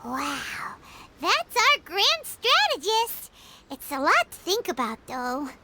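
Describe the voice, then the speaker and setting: A woman voicing a tiny, excitable fairy companion in a video game who chatters and exclaims. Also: extremely high pitch, slow, very noisy, exaggerated voice. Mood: excited. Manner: bubbly, exclaiming, refers to herself by name. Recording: game audio with loud music behind.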